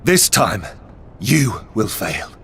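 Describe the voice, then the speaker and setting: An actor playing a solemn, resigned rebel son of the Furies in a video game, earnest in manner. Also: male voice, lowish voice, sing-song, slow pace, a bit bright, heavily acted. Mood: defiant. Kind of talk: dramatic video game acting. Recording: music behind, long hall reverb.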